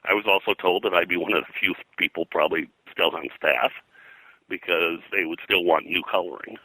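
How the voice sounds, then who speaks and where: An older man reminiscing as an interview guest, casually rambling and halting, with false starts and repeated phrases. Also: low-pitched, steady tone, sharp tone, breathy edge, no echo, low-quality recording. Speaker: interview guest, veteran comics production artist.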